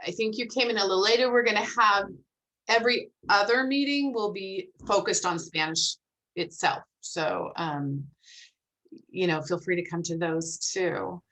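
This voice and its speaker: A woman's voice: mid-range voice, normal speed, a bit bright, low-quality recording. A woman hosting an online group discussion in an encouraging, explanatory way, talking casually like an academic. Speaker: host of a phonetics club meeting, academic.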